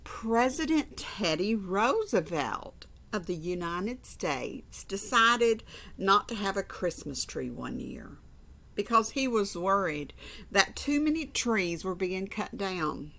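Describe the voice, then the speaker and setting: A woman's voice: medium pitch, sing-song, slow, creaky, a bit bright. A woman reading a storybook aloud to children, informal and conversational, with chatty asides and repeated phrases. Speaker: storybook reader.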